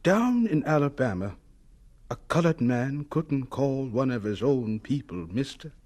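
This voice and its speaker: An actor playing an earnest, folksy, good-humored agriculture professor in a radio drama. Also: male voice, medium pitch, expressive, measured pace, a little mellow. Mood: wry, reflective.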